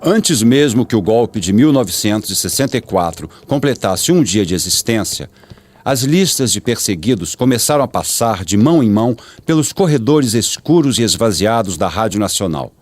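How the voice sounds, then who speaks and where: A man's voice: lowish voice, very animated, moderate pace, darkish tone. A Brazilian man reading scripted radio documentary narration in a solemn, dramatic manner. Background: music.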